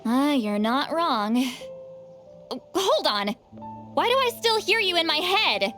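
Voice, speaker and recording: A woman's voice: high voice, very animated, takes it slowly, pushed and bright, heavily acted. A woman voicing a centuries-old shaman with a youthful voice, shy and easily flustered. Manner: hesitant, stammering, fussy. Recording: game audio with faint music.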